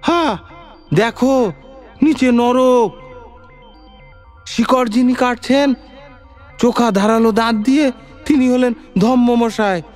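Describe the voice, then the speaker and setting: A man voicing a tiny, desperate ancestor spirit with a strained, shrill voice, pleading in rustic speech in an audio story. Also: slow pace, pauses often, a little mellow, soft background music.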